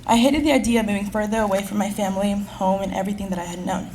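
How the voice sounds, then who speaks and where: Fast-speaking teenage girl giving a speech. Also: mid-range voice, steady tone, darkish tone, a little hiss or hum, poor audio. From California. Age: teens. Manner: earnest, reading from a script at a quick clip. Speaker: graduating high school senior giving a speech. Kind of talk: prepared speech. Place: school event.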